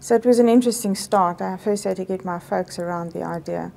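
A middle-aged South African woman whose first language is Afrikaans, a medical professor giving thoughtful, measured answers in an indoor interview. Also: lowish voice, creaky, dark tone. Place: office interview set, indoors.

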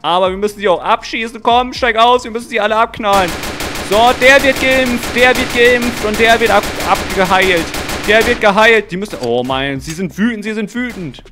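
A young man narrating a game role-play dramatically and excitedly, full of exclamations, close to the microphone. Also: high voice, normal speed. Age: young adult. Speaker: gaming video host and player. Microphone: close microphone, seen. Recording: music under the voice. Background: music.

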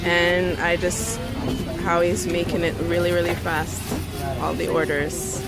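A woman filming at an outdoor food stall early in the morning, narrating excitedly on her phone. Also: fairly low pitch, unhurried. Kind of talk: on-location phone video commentary.

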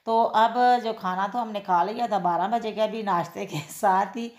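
A woman's voice: medium pitch, fairly even intonation, moderate pace. A woman, chatting casually to viewers in a home vlog and repeating her favorite filler phrases. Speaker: cooking and daily-life vlogger. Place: home kitchen, then outdoor street fair. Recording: echoey room sound.

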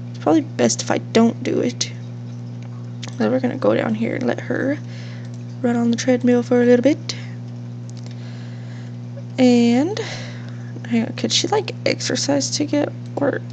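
A young woman with a slight cold narrates her game in a loose, chatty way, full of fillers and side stories. Her voice is fairly high and swings around a lot, with a gravelly fry at the ends of phrases. A faint hum from a fish tank sits under the home recording. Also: darkish tone, poor audio. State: sniffly from a cold. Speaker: gaming channel host. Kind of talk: casual let's-play commentary.

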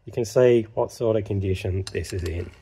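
A man's voice: on the low side, normal speed, mellow, slight background noise. A man giving a casual, matter-of-fact workshop demonstration of filing a chain, talking plainly while he works. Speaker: chainsaw sharpening instructor. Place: workshop bench.

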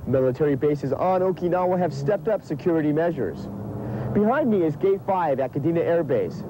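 A young American man reports from outdoors into a handheld mic, with clear street and vehicle noise around him. His voice is slightly high for a man, and his scripted delivery is formal and deliberate. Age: young adult. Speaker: military field reporter. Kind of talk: scripted news stand-up report. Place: outdoors by a base gate. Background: clear outdoor traffic noise.

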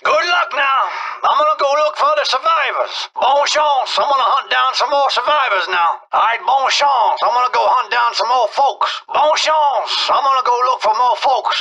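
An actor voicing a folksy Cajun boatman in a video game, with an excitable drawl and a radio filter. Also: very high-pitched, moderate pace, exaggerated voice, rough recording. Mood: plainspoken, helpful. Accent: Cajun Louisiana. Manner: folksy, repetitive "now" and dialect grammar. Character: folksy Cajun boatman, friendly survivor of outbreak.

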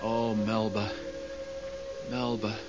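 An actor playing a naive, sentimental newly dead husband's ghost in a radio drama, earnest and polite. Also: male voice, fairly low pitch, little pitch movement, slow pace, lo-fi sound, loud music behind the voice. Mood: earnest, puzzled. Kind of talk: 1970s radio drama acting. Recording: hissy old radio recording.

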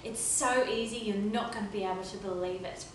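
A woman's voice: mid-range voice, fairly even intonation, fairly quick, a little hiss or hum. A woman in her thirties hosting a mock cooking show in a home kitchen, talking in a chirpy, overly careful demonstrating tone. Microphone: camera mic from across the room. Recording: echoey kitchen, voice from a distance.

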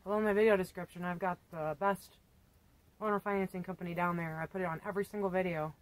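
A woman's voice: lowish voice, fairly even intonation, fast talker, rough recording. A woman in her thirties chatting in a blunt, self-mocking way, sitting outdoors on a sunny porch and filmed by a camera a few feet away. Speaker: homesteading vlogger. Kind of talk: casual chat. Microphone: camera on a tripod a few feet away.

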